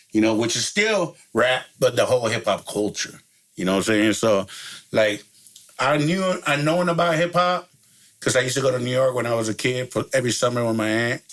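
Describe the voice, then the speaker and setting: A middle-aged man with a gravelly, creaky voice talks in loose, laid-back street slang and fills his sentences with "you know." He sits as a podcast guest in easy, relaxed conversation and laughs often between thoughts. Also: medium pitch. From El Salvador. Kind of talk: casual interview chat.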